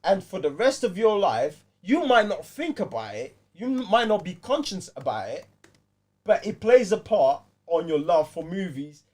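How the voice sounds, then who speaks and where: A man with a London accent and a high, swooping voice hosts a casual film chat. He talks in slang and circles back on his phrases to drive points home. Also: sing-song, normal speed, darkish tone. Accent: London British. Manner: slangy, repeats phrases for emphasis. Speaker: podcast host. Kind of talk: casual panel chat about films.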